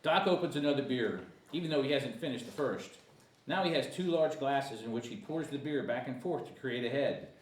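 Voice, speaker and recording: Animated man narrating in an echoey room. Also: mid-range voice, fairly quick, distant mic. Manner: stumbles and repeats words while reading. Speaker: stage-direction narrator. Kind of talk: table read of a comedy screenplay. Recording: echoey room with faint noise.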